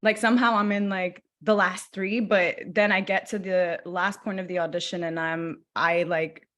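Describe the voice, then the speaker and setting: A woman's voice: on the low side, fairly even intonation, moderate pace, a bit bright. A woman in her twenties from Los Angeles, speaking as an interview guest in a loose, rambling way with many fillers and restarts. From Los Angeles, USA. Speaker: guest actor. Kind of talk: casual interview talk.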